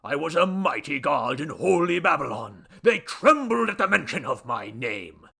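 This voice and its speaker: Man acting a pompous, booming little idol god. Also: big pitch swings, moderate pace, vocal fry, bright, forceful tone, slightly rough, heavily acted. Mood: grandiose, boastful. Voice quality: rusty booming foghorn bass. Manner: grandiose, formal, declaiming.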